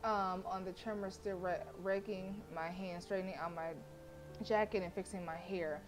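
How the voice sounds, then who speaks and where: A woman game streamer reading story narration aloud in an expressive, dramatic way, with casual delivery between passages. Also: fairly low pitch, normal speed. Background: game music.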